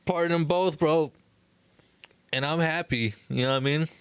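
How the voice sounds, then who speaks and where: A man's voice: fairly high pitch, normal speed, smooth, no room sound. A young man co-hosting a podcast in casual, slangy conversation. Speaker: podcast co-host.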